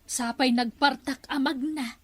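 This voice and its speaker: A woman's voice: high voice, steady tone, a little slow, husky, dry recording. A woman playing a gossiping village neighbor in a radio comedy drama. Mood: chatty.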